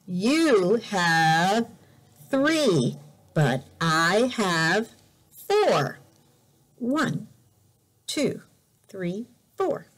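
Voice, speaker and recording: A woman teacher reading a picture book aloud to children in a deliberate, exaggerated way, repeating words for learners, recorded indoors on a device camera. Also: medium pitch. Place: indoors in a room by a bright window.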